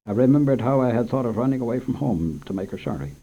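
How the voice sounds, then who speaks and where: A man reading a short story aloud in an expressive, unhurried way as the storyteller of a children's radio program. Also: medium pitch. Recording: muffled old radio recording.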